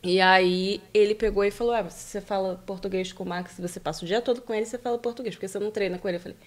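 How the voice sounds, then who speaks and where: A woman from Brazil hosts a relaxed live stream. Her voice sits mid-range, and she keeps the talk going with friendly, quick agreement. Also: mid-range voice. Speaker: host. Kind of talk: casual live-stream conversation.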